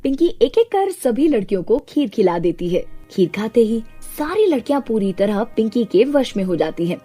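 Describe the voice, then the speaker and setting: Animated, high-voiced woman narrating a story. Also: normal speed, husky, faint music behind. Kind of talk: scripted cartoon story narration.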